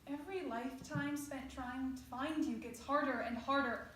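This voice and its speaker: A woman's voice: high-pitched, fairly even intonation, moderate pace, fairly bright tone. A woman playing a weary, loving, wistful soulmate in a staged play reading. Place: indoor stage. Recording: very echoey room, faint noise.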